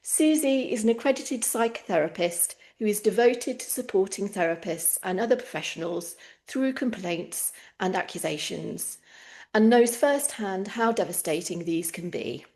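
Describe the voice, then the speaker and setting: A woman hosting a podcast, speaking gently with frequent ums and soft pauses. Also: mid-range voice, animated. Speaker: podcast host. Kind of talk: podcast interview.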